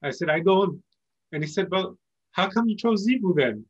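A man who is a native Persian speaker, answering interview questions as a company founder in a conversational, explanatory way with pauses mid-phrase. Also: high-pitched, lively intonation, husky, no room sound, dull audio.

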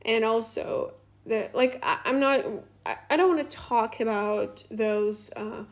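A young woman from Latvia, a native Russian speaker, talks slowly in a slightly high voice. She rambles through a casual, unscripted monologue, full of fillers and restarts. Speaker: podcast host.

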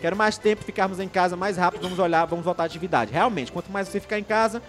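A man's voice: high-pitched, rapid-fire, fairly bright tone, soft background music. A young man from Maranhão with a Maranhão accent hosting a live online show, chatting casually, reading viewer comments and often restarting his sentences. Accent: Maranhão Brazilian Portuguese. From Maranhão, Brazil. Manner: rambling, many restarts, chatty and upbeat. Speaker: live stream host.

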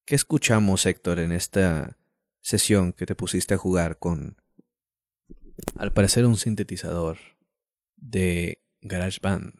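A man hosting a music show from a studio, calmly asking his guest questions and moving between segments. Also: low-pitched, a little slow, pauses often, no room sound. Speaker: show host. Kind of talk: hosting an interview.

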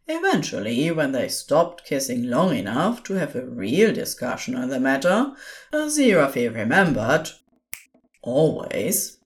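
A woman narrating scripted fan fiction as an expressive storyteller. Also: low-pitched.

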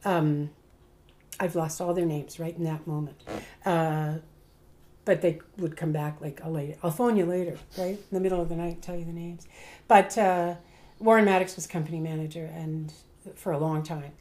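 An older Canadian woman, a veteran stage actor, casually telling rambling, animated stories in an interview and often breaking off to correct herself. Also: low voice, moderate pace. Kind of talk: casual reminiscing, storytelling.

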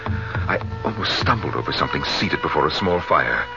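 A muffled old radio play, music underneath, features a middle-aged husband gnawed by jealousy. His lowish voice is theatrical and unhinged, moving widely in pitch. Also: animated, normal speed, gravelly, exaggerated voice. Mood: tense, subdued. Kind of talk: 1970s radio drama acting. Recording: dull vintage radio recording, music behind.

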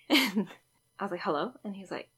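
A woman in her twenties co-hosting a casual chat, telling animated dating stories with lots of giggling. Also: mid-range voice, fairly quick, breathy edge. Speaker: podcast co-host.

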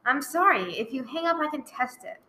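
A woman's voice: high voice, expressive, a little fast, sharp tone. A woman playing a courteous, unflappable telephone operator in a radio play, giving clipped, formulaic replies. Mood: firm, courteous. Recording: very noisy, roomy, clicking recording.

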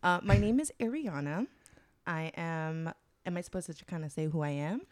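A woman guest on a casual podcast, teasing with deadpan jokes. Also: on the low side, normal speed, dry recording.

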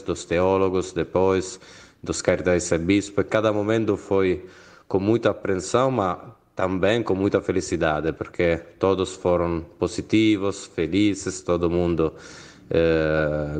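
A non-native man speaking hesitantly with many pauses, in the role of postulator of a canonization cause. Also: low-pitched, a little mellow, clear, resonant tone. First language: not Portuguese.

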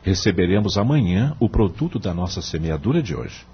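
An actor playing a wise, kindly male law professor in a radio drama, with a Brazilian accent and a husky voice, measured and moralizing. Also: low-pitched, very animated, lo-fi sound, full character voice. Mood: kindly, reassuring. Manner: didactic, measured, moralizing, rhetorical questions.

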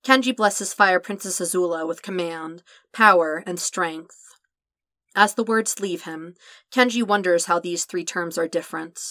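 Low-voiced woman reading a story. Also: a little slow, pushed and bright, no echo. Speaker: podfic reader. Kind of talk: scripted narration of fan fiction.

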